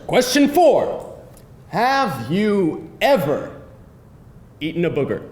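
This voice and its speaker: A man's voice: high voice, wildly swooping pitch, takes it slowly, a little mellow, exaggerated voice. A man playing a cocky, playful guy who bosses and teases his date at a live screenplay table read. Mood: bossy, teasing. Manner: bossy, teasing game-show delivery. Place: indoor room before a live audience. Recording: echoey live room, faint noise.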